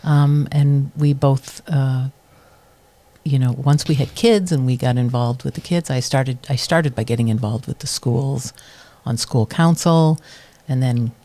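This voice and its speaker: A woman's voice: a bass voice, unhurried, warm tone. A middle-aged woman from New Hampshire, a committee chair, answering interview questions casually and thoughtfully, with many ums and restarts.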